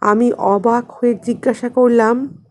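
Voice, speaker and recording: A woman narrating a literary story expressively, in a measured way with dramatic pauses. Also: fairly high pitch, fairly even intonation. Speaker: audiobook narrator and channel host.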